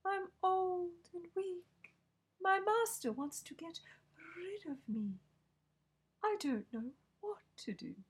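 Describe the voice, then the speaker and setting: A woman's voice: very high voice, animated, slow, voice pushed up, full character voice. A woman voicing a weary, weak old cat in a storybook, thin and whispery.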